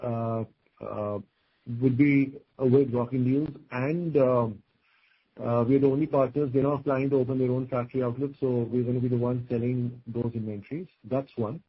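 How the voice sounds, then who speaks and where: A man with an Indian accent answering as a company executive on a business conference call, explaining things in a halting way. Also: mid-range voice, steady tone, breathy edge. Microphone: conference call line. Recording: dull, lo-fi conference call audio.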